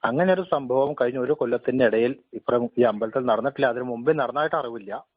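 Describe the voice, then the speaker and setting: Male caller, polite but insistent complainant. Very quick delivery, thin telephone sound. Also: medium pitch, slightly rough, dry recording. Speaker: temple head priest, complainant caller. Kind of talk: formal phone complaint. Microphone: telephone call. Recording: tinny narrow phone line.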